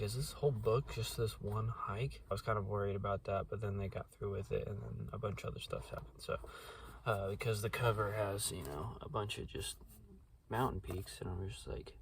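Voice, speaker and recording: Young man, low voice, flat delivery, rambling with fillers, mic set back from him, faint background noise. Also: distant mic. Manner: rambling, many fillers and restarts. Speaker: book reviewer. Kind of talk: casual spoken book review.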